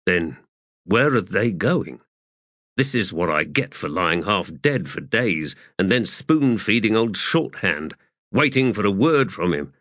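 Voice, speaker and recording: A man voicing a cheeky, bitter wounded soldier with a sardonic, quick tongue. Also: lowish voice, very animated, fairly bright tone, no room sound, studio quality, exaggerated voice.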